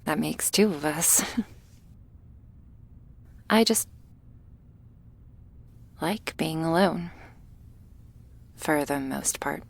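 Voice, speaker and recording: A woman playing a shy, awkward young female vampire in an intimate ASMR roleplay, speaking softly and hesitantly, often close to a whisper. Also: sits low, vocal fry, heavily acted. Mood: shy, wistful. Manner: hesitant, halting, soft, often near a whisper.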